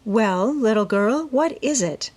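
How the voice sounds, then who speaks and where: A dignified mother from a classic storybook, voiced by a woman reader, practical and indulgent. She puts her questions in a measured, motherly way. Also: fairly high pitch, lively intonation, a little mellow, voice pushed up. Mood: fond, proud.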